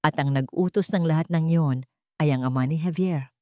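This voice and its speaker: A woman with a Filipino accent voicing a calm, serious man with hidden bitterness in an audio drama. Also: low-pitched, moderate pace, no echo, clean studio sound, natural voice. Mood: bitter, grave.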